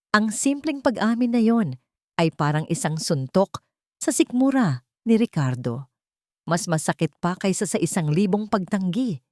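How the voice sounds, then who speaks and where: A woman's voice: fairly low pitch, darkish tone, no room sound, studio quality. A woman narrating a scripted dramatic story.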